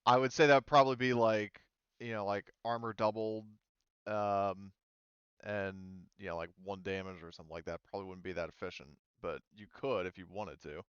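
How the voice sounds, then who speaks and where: A man with a clear voice running a tabletop roleplaying game, talking casually and swearing now and then. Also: lowish voice, steady tone, normal speed, a bit bright, dry recording, lo-fi sound. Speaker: game master.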